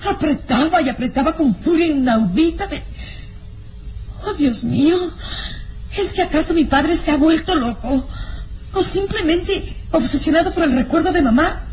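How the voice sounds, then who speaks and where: An actress with a Mexican accent playing an anxious, emotional young baron's daughter in a melodramatic old radio serial. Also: female voice, sits high, animated, normal speed, a little mellow, rough recording. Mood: distraught. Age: young adult. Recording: old radio serial with faint background noise.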